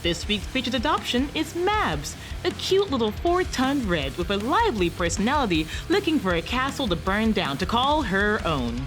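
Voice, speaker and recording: A woman announcing a parody radio advert as a perky sales pitch. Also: very animated, moderate pace, few pauses, a bit bright, faint music behind.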